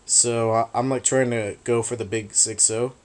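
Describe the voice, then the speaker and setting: A man giving rambling, casual commentary over a video game battle, swearing offhandedly, his voice getting raspy from talking a long time. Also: mid-range voice, fairly even intonation, normal speed.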